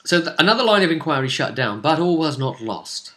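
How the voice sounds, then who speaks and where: A man with a bright, forward voice retells a tabletop adventure as game master. His delivery is casual but animated, with pitch that moves around a lot as he adds little asides. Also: brisk. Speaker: game master recapping a tabletop role-play session. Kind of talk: casual recap chat.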